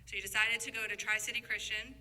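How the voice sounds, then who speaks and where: Very bright-voiced woman interviewer. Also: on the high side, steady tone, quick pace. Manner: affirming, echoes and sums up answers. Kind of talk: supportive interview questioning.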